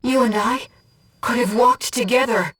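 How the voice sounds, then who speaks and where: A woman voicing a gentle, composed, earnest disembodied female guide in a video game, speaking in a measured, softly formal and precise way. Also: a little high, husky, recorded from a distance. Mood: tender, solemn. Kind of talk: scripted video game voice acting, mission guidance. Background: music.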